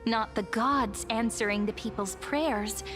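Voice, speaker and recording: Woman as a solemn high-voiced chronicler in reverb. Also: a little slow, music in the background, close to an everyday voice. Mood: solemn, epic. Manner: grave, storytelling, formal. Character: solemn female chronicler of ancient war legends. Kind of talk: scripted epic narration. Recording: long hall reverb.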